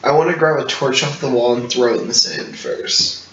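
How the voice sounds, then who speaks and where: A man's voice: mid-range voice, expressive, normal speed, fairly bright tone. A man playing a tabletop role-playing game, chatting casually in a blunt, grumbling, sweary way. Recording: roomy, muffled distant mic with clicks, hiss.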